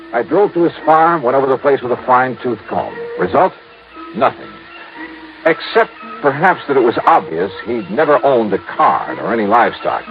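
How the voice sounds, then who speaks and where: Swooping hard-boiled American radio detective. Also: male voice, medium pitch. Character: brisk, wry male insurance investigator, hard-boiled detective. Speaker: lead actor and narrator. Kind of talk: old-time radio detective acting and narration. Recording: dull vintage radio recording with music.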